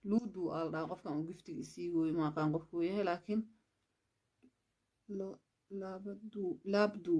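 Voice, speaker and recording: A woman's voice: lowish voice, fairly even intonation, brisk, long pauses, dark tone. A woman from Somalia, chatting casually on a livestream, switching languages and thanking viewers for gifts. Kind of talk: casual live-stream chat.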